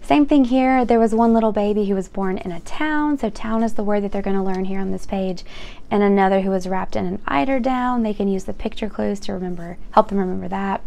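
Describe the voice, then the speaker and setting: A woman talks through a picture book in the manner of an encouraging teacher. Her voice sits at an average pitch and has a clear gravelly creak. She moves between explaining and reading aloud. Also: a little fast, mellow. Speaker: host of a children's book read-aloud channel, explaining early reading.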